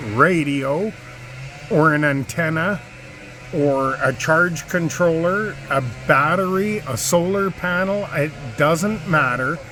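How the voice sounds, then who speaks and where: A man giving an unscripted gear review in a measured, halting way with deliberate pauses. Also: fairly high pitch. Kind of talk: unscripted product review talk.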